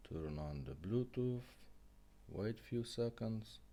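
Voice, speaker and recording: Low-voiced man demonstrating gear. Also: lively intonation, slow pace, mellow. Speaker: car radio restorer demonstrating a product. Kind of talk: casual product demonstration.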